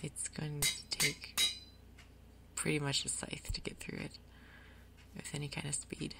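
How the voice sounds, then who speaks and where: A woman giving soft-spoken ASMR game commentary in a breathy, gentle, unhurried way. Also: deep voice, completely flat delivery, very noisy. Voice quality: breathy, whispery. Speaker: gaming video host.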